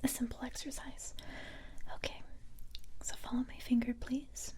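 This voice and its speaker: A woman speaks in a breathy, very dark and mellow whisper for an ASMR roleplay. Her voice sits fairly high yet stays even, as she plays a tough but gentle recruiter. The delivery is halting and reassuring. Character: capable, tough yet gentle young female special-forces recruiter.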